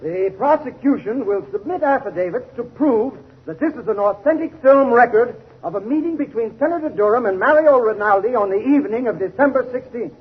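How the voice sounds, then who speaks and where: Casting: hard, oratorical 1930s radio prosecutor, very high voice, dull vintage sound. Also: male voice, lively intonation, a little fast, few pauses. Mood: formal, assured. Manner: declamatory, accusatory, formal. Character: hard, pompous male courtroom prosecutor. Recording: muffled 1930s radio recording.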